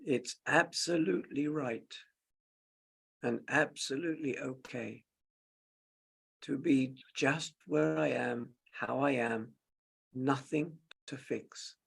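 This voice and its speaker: A man leads a guided meditation at a very slow pace. His voice is heavily gravelled with fry. He gives gentle instructions in short, halting phrases, leaving long pauses between them. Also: mid-range voice. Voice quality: gravelly, husky. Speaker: meditation teacher.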